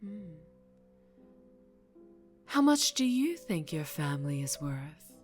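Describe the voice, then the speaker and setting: A woman plays a dangerously charming female mafia boss in an ASMR audio drama. Her lowish voice moves very slowly, teasing and softly threatening, with phrases dropping toward a whisper. Faint music sits behind her. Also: big pitch swings, exaggerated voice. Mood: coolly threatening. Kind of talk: ASMR roleplay acting.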